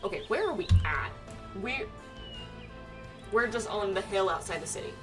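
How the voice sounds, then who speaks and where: A woman's voice: mid-range voice, very animated, normal speed, recorded from a distance, music in the background. A woman streaming a game playthrough with friends, reading on-screen text aloud and adding her own asides.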